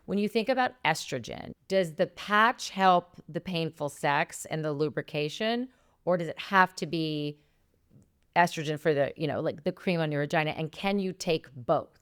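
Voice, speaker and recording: A woman's voice: deep voice, moderate pace, fairly bright tone, smooth, dry recording, studio quality. A woman with a Southern US accent, a podcast co-host asking curious questions in chatty conversation. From American South. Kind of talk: casual interview chat.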